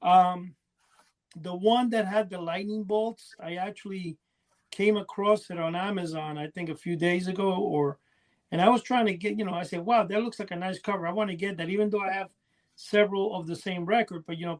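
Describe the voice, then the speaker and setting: High-pitched male podcast host, chatting. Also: steady tone, fairly quick. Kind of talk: casual chat.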